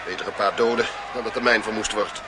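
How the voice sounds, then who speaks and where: A man's voice: fairly low pitch, steady tone, quick pace, close to an everyday voice. An actor playing a commanding, hot-tempered, middle-aged father and mine owner in a radio drama, barking imperious orders. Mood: grimly triumphant. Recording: radio play with loud outdoor noise.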